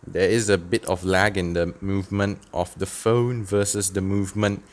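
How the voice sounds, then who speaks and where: A man giving an informal step-by-step software tutorial, somewhat halting and dropping in light asides. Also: deep voice, fairly even intonation. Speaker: tutorial presenter, church tech volunteer. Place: indoors at a desk.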